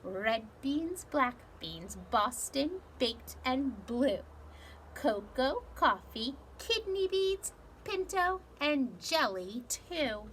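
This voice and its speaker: A woman voicing a matter-of-fact little male Chihuahua in a storybook, confiding and deliberate. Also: sits high, big pitch swings, fairly bright tone, slight background noise, full character voice, low-quality recording. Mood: grave explaining.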